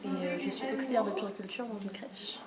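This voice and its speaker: A woman's voice: mid-range voice, a little fast. A twenty-year-old woman who works as a childcare assistant speaks hesitantly in an interview, with fillers. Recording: loud crowd behind.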